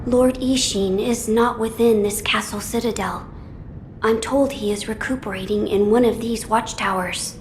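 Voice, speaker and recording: Woman doing a noble little boy lord for a video game, solemn, careful formal talk, bit of background rumble. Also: steady tone, close to an everyday voice. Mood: earnest, resolute. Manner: formal, gentle, deliberate. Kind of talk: dramatic video game dialogue.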